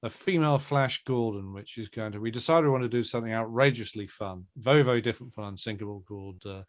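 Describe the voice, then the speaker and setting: A man's voice: fairly low pitch, fast talker. A man speaking as a producer guest in a casual, conversational interview.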